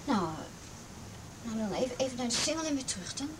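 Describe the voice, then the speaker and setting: A woman playing an anxious, guarded teenage girl who speaks haltingly in a radio play. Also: on the high side, animated, background noise, lightly acted. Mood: guarded, hesitant. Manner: halting, repeats words. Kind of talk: Dutch radio play acting.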